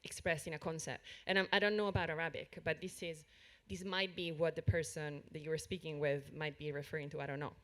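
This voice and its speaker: A woman with a fairly low voice shares thoughts on a panel. She talks tentatively, hedging as she works through her ideas. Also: steady tone, fairly quick, few pauses, a bit bright. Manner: tentative, musing. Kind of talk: panel discussion.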